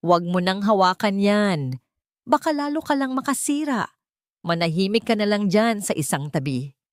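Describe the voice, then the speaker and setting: A woman's voice: medium pitch, sing-song, moderate pace, dry recording, clean studio sound, close to an everyday voice. A woman with a Filipino accent voicing a cruel, two-faced girlfriend in an audio story, haughty and theatrical. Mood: cold, scornful. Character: cruel, two-faced young girlfriend scolding or sweet-talking. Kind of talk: audio story character acting.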